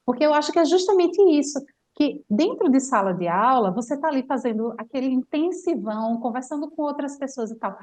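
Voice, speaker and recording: A woman with a Brazilian Portuguese accent, a language teacher telling a lively travel story in casual chat, full of fillers. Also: sits high, fairly quick, hardly any pauses. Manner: animated storyteller, chatty with many fillers.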